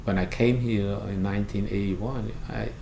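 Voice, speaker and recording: A man with a Cambodian accent, a native Khmer speaker, recounts his experiences in halting, simply phrased English in an interview. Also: fairly low pitch, fairly even intonation. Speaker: interviewee, refugee services worker and pastor. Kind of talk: oral history interview, recounting personal experience. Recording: roomy echo, clear background noise.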